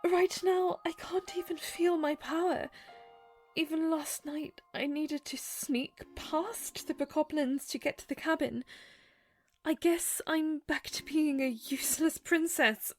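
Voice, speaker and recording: A woman voicing a young princess in a fan audio drama, soft-spoken, anxious and full of self-doubt. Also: high-pitched, fairly even intonation, measured pace, faint music behind. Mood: dejected. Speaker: voice actor.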